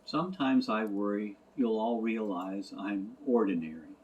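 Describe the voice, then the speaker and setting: A man's voice: lowish voice, unhurried, lo-fi sound, natural voice. Man playing a curious, wondering storybook boy. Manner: wondering, questioning. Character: curious young boy, lonely and questioning.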